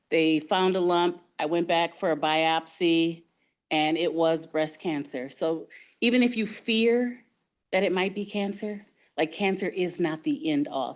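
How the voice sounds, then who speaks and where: A woman's voice: low voice, unhurried. A woman moderating a health talk, speaking earnestly and encouragingly as she thanks the doctor and opens the floor to questions.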